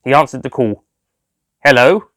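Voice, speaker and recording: A man's voice: mid-range voice, lively intonation, brisk, slight background noise. A man reading scripted story narration as the narrator of an audio tale. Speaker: author and narrator.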